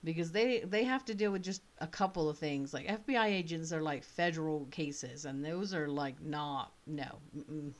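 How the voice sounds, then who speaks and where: A woman's voice: sits low, moderate pace, hardly any pauses. A woman in her thirties with a slight British accent, chatting casually and thinking aloud as she plays an online choice game. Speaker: author playing a choice game. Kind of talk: casual commentary. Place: small room indoors.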